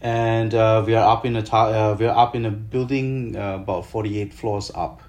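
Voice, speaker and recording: Male chef as interview guest, Malaysian accent, lowish pitch, explanatory and somewhat halting delivery. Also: flat delivery, a little mellow. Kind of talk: conversational interview answers.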